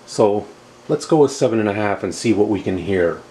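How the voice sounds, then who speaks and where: A man's voice: on the low side, animated, normal speed. A man giving a casual, methodical demonstration of equipment as a review host, explaining step by step. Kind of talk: casual product demonstration narration. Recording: clear background noise.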